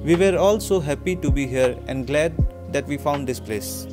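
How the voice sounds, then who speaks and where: Indian man narrating, loud music underneath. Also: a little high, moderate pace. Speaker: travel vlogger narrating own trip. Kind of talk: scripted travel narration.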